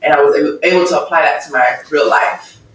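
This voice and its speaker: A woman guest talking about her life, earnest and thoughtful, pausing between her thoughts. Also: low voice, a bit bright, slight background noise. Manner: earnest, thoughtful, measured with pauses. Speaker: interview guest. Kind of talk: reflective personal testimony. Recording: echoey room, mic far off.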